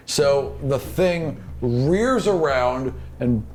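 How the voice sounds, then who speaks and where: A man's voice: medium pitch, animated, extremely slow, a little mellow. A man narrating a tabletop fantasy game as game master in an informal, casual way. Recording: noisy room recording.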